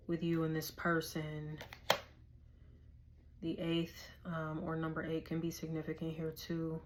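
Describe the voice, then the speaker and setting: Hoarse, chatty woman reading tarot. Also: sits low, slight background noise. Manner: chatty, loose, slangy, thinks aloud. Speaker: tarot reader.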